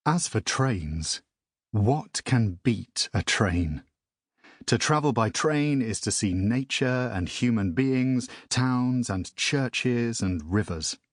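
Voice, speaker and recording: A man narrating a children's audiobook in a measured storytelling manner. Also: mid-range voice, animated, no echo, studio quality.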